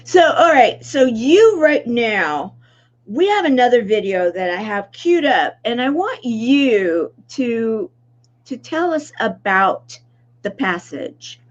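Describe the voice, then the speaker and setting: A woman host chatting with a guest, enthusiastic and laughing easily. She speaks slowly, with a lively, swooping pitch that sits a little high. Her sound comes through dull and lo-fi. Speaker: show host. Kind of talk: casual interview chat.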